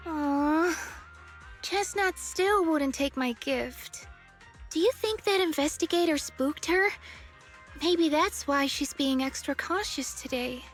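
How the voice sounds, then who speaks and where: An earnest young game heroine with a notably high voice and unhurried delivery. She is a whimsical, affectionate night watcher who speaks like a storyteller, with music playing underneath. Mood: disappointed. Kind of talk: video game voice acting, story dialogue.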